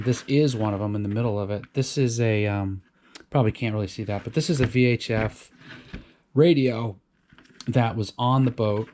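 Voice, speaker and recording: A man's voice: on the low side, warm tone, a little hiss or hum. Man casually talking through a DIY project. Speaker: DIY boat vlog host. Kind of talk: casual unscripted how-to chat.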